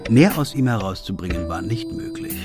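An actor playing a detective's loyal, puzzled companion, narrating the case in a measured, literary radio play style. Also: male voice, lowish voice, very animated, music in the background. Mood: measured, reflective.

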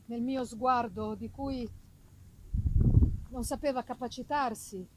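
A woman giving a theatrical, deliberate and expressive first-person reading of a literary story. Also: on the high side. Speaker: reader. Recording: noisy live festival recording.

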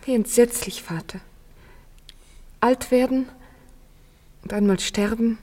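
A poor young working-class daughter in a vintage radio drama. Her voice is fairly high, her delivery expressive and theatrical. She is loving and playful, yet earnest at heart. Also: slow. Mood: pleading, earnest. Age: young adult. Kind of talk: 1950s German radio play acting. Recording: 1950s radio production.

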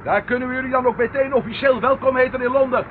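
An actor playing an animated, dryly ironic police detective in an old radio drama. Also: male voice, very high-pitched, quick pace. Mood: matter-of-fact. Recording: muffled, noisy old radio recording.

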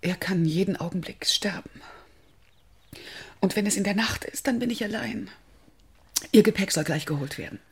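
An actress playing the anxious, imploring wife of a dying man in a radio drama, speaking in a breathy, pleading way. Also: medium pitch, normal speed, long pauses. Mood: hushed, distressed.

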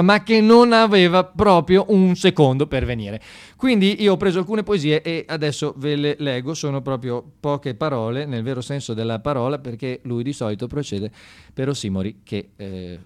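A man doing a comic theatrical monologue in a conversational, halting and mock-serious way, often repeating himself. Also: a little high. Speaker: satirical stage monologist on radio.

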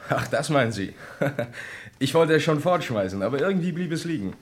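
A man's voice: on the high side, lively intonation, a little fast, lightly acted. A man playing a casual, slightly embarrassed young army officer in a vintage radio crime drama. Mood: sheepish, offhand.

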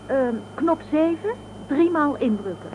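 An assertive, resourceful spacewoman with a high voice, urgent and tense, in a science fiction radio play. The recording has clear noise behind the voice. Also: animated, unhurried. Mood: focused. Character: tense, resourceful female space ferry crew member. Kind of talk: Dutch sci-fi radio drama acting.

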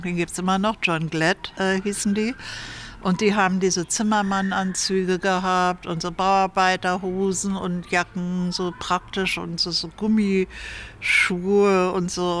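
Female interviewee, medium pitch, loose anecdotal talk, slight ambient noise. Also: fairly bright tone. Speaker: interviewee recalling the past. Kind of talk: casual spontaneous reminiscence.

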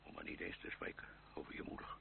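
An actor playing a bewildered, stammering old gentleman in a radio drama, with sighs and a gravelly voice. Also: fast, lightly acted. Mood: plaintive. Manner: stammering, hesitant, sighing. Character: bewildered, sighing old uncle, ruined gentleman fleeing revolution. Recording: noisy, humming, tinny old radio recording.

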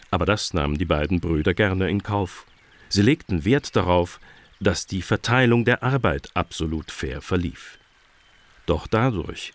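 Male narrator, deep voice, scripted children's storytelling, radio play.

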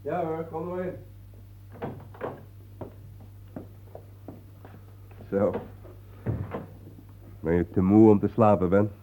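Calm, low-voiced friend, tinny recording. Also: male voice, unhurried, a little hiss or hum. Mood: welcoming. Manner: matter-of-fact, conversational. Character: calm, sceptical male friend, practical and reassuring. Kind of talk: Dutch radio play acting. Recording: thin phone-like old radio play recording.

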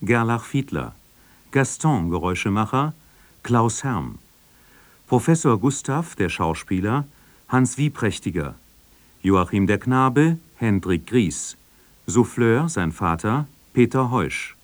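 A man reading formal radio credits with a measured, list-like delivery. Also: fairly low pitch, very animated, no echo. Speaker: radio announcer. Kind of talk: formal read credits announcement.

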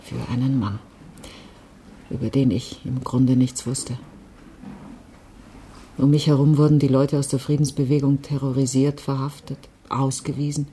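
Low, mellow, wistful woman. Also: fairly even intonation, moderate pace, long pauses, slight background noise. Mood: wistful, regretful. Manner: confiding, reflective. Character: wistful, passionate woman recalling a past love affair. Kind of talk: radio drama acting.

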